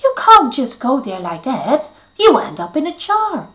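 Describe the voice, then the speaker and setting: A woman's voice: high voice, wildly swooping pitch, moderate pace, a bit bright, dull audio, pitched above the performer's own voice, exaggerated voice. A woman voicing a small, bossy and impatient female fairy. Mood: eager.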